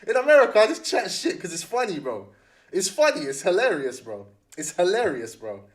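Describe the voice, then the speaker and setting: A man's voice: high-pitched, big pitch swings, a little fast. A young man with a London accent chatting casually to livestream viewers, slangy and often repeating phrases for emphasis.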